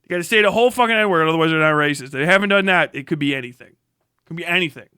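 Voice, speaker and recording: A man with a notably high, bright voice, recorded dry and close. He streams sarcastic political commentary, swearing heavily and mocking in a combative way. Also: rapid-fire, smooth, no echo. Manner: heavy swearing. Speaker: live streamer reacting to a video. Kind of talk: profane political rant commentary.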